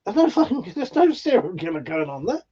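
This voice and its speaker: A man's voice: high-pitched, sing-song, a little fast, dark tone, slightly rough, highs cut off. A man from England, hosting a casual livestream, reading out chat comments in a sweary, jokey way with frequent laughs. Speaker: livestream host.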